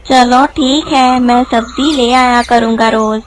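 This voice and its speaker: A woman's voice: on the high side, fairly even intonation, takes it slowly, slight background noise. A woman voicing a naive, good-natured young male vegetable hawker who talks earnestly and simply in a cartoon story. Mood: agreeable.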